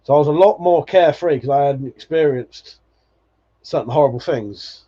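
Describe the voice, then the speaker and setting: A man's voice: fairly high pitch, moderate pace, dark tone. A man in his forties hosting a live stream, chatting casually and nostalgically, swearing freely and laughing often as he reads viewer comments. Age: 40s. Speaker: livestream host. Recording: dull lo-fi stream audio.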